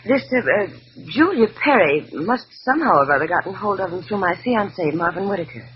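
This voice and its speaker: An actress playing a coolly flirtatious, glamorous widow in a 1940s radio noir drama, coy, teasing and smoothly evasive. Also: female voice, mid-range voice, expressive, a little fast, lightly acted. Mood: coy, amused. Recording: noisy, muffled vintage radio recording.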